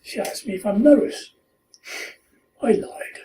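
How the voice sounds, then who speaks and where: A man in his seventies with a Pakistani accent, telling stories from his life in an interview with gentle humor. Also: sits high, lively intonation. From born in India, raised in Pakistan. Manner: unhurried, gently humorous storyteller who acts out quoted lines. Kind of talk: oral history storytelling. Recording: echoey room, far from mic, faint hiss.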